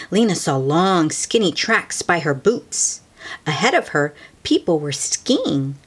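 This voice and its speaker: Female storybook reader, husky and unhurried, expressive delivery that drops into hushed asides for young listeners. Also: low-pitched. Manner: slow, expressive, hushed at times, explains words simply. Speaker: children's book read-aloud host. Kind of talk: storybook read-aloud with asides to children.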